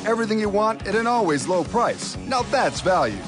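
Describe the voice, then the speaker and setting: A man with a high-pitched voice delivers a scripted radio advertisement. He works as a voice-over announcer, and music plays clearly behind him. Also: big pitch swings, fairly quick.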